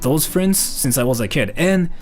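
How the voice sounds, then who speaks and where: A man's voice: on the high side, lively intonation, normal speed, darkish tone, soft background music. A man from Japan streaming a live Q&A, rambling with lots of "like" fillers while thanking donors. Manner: rambling, heavy use of "like" fillers. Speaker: live streamer reading donations.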